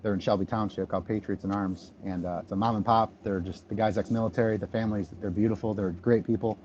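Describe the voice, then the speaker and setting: A man's voice: fairly even intonation, quick pace, a little mellow. A man in his thirties from the Detroit area, chatting casually on a podcast and rambling with many ums and restarts. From Michigan, near Detroit. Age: 30s. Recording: dull low-fi audio, faint noise.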